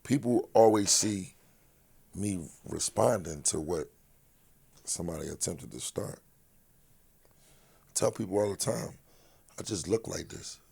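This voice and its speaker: A middle-aged man from Philadelphia speaks as an interview guest in a big, low voice. His tone is gravelly and husky, and he talks bluntly and profanely, in a deliberate way. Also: mellow. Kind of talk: casual interview talk.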